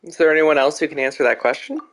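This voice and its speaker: A man's voice: fairly high pitch, fast, fairly bright tone. A man streaming a video game, giving casual commentary as he plays.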